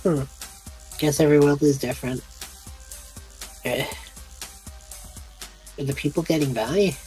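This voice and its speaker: A man plays a curious, worried young male adventurer in a tabletop game. He talks casually and hesitantly, in a light voice with soft music behind. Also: fairly high pitch, measured pace, close to an everyday voice, rough recording.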